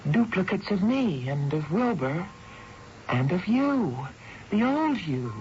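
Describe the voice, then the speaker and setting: Devoted wife, earnest, reassuring, radio drama delivery, dull band-limited recording. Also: female voice, lowish voice, sing-song, slow, darkish tone, a little hiss or hum. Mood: wonderstruck, earnest. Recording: dull radio broadcast with music.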